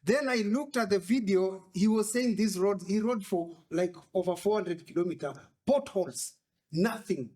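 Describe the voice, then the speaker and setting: A man talking as a guest biker in a casual interview, telling animated stories in broken English. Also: sits high, moderate pace.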